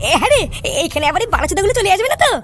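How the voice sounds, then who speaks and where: Very squeaky, fretful cartoon boy. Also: big pitch swings, racing, a bit bright, no room sound, heavily acted. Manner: slangy, fretful, exclamatory. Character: excitable, whiny, easily scared cartoon boy. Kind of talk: comic cartoon dub.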